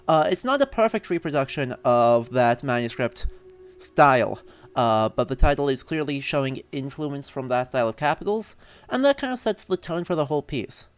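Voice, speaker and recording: A man's voice: medium pitch, expressive, moderate pace, smooth. A man giving casual live game commentary as a streamer, chatty and wry with scholarly asides. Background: faint game music.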